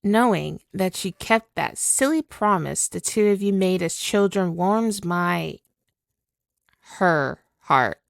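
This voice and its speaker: A woman's voice: fairly low pitch, animated, creaky, no echo, clean studio sound, full character voice. A woman playing a spirit villain possessing a woman's body, obsessive and tormented, in a theatrical, brooding ASMR roleplay monologue. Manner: slow, theatrical, brooding, dwelling on words.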